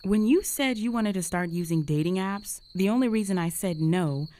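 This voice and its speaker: A woman's voice: medium pitch, moderate pace, dark tone, lightly acted. A young woman playing a jealous, flustered roommate with a secret crush in an intimate romantic audio roleplay, speaking in a hesitant, conversational way. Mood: hurt, exasperated. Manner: conversational, hesitant, sighing, emotionally exposed.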